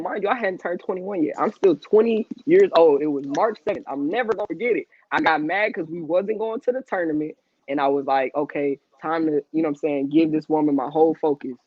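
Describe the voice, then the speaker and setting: Man telling his story in streetwise slang. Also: fairly high pitch. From Long Beach, California. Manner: streetwise, profane, restless, laughs often. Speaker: interview guest. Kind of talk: candid storytelling. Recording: dry, muffled lo-fi audio.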